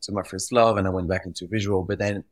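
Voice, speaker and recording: Guy, really low voice, dry sound, talks animated and stumbles over words a bit. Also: fast talker, no room sound. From Belgium. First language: French. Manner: animated, repeats words while gathering thoughts. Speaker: guest, retail visual expert. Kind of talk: conversational podcast interview.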